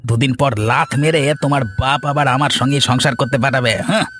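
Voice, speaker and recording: A man voices a hot-headed, hard-up husband in a village cartoon. He talks quickly, envious and aggrieved, in a middling pitch. Faint music plays behind. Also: fairly even intonation, fairly bright tone, close to an everyday voice. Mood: indignant, angry. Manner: blustering, aggrieved. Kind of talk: scripted cartoon dialogue.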